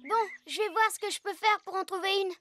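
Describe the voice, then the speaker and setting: An adult voicing a small eager boy fox in a cartoon dub, polite and excited. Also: extremely high pitch, quick pace. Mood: eager child. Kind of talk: cartoon dubbing. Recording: music underneath.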